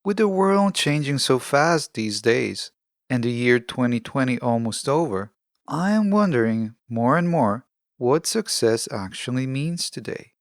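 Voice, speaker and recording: A man hosting a podcast, delivering a reflective scripted monologue in measured, earnest, deliberate short statements. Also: mid-range voice, lively intonation, no echo, studio quality. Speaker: podcast host.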